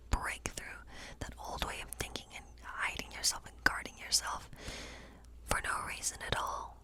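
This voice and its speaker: A woman whispering gently and breathily in an ASMR role play as a kindly witch who reads tarot. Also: rough recording. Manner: gentle, slow, soothing reassurance. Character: kindly witch reading tarot in her woodland cottage. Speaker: ASMR performer playing a witch.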